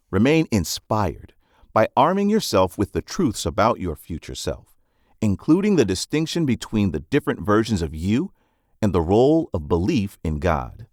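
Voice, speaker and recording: A man reading scripted nonfiction narration in a measured, instructive manner, as for an audiobook summary. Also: on the low side, a little mellow, no echo, clean studio sound.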